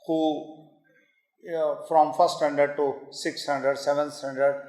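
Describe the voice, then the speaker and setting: A man's voice: fairly high pitch, level tone, darkish tone. Earnest Indian man giving an echoey talk. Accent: Indian English. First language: Kannada. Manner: earnest, halting with many fillers, rising to emphatic lists. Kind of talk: public talk.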